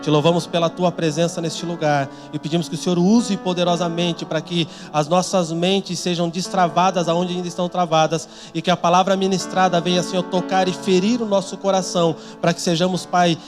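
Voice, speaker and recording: A man's voice: sits high, little pitch movement, fairly quick, hardly any pauses. A man leading a church service, introducing the preacher and praying earnestly over the congregation. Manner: earnest, rising to fervent in prayer. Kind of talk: fervent congregational prayer. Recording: church music playing behind.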